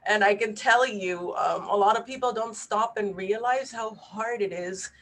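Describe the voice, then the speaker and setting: A woman's voice: medium pitch, a little slow, a bit bright. Woman from Puerto Rico, Spanish first language, physician, earnest webinar talk, conversational, many fillers. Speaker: physician panelist. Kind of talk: webinar presentation.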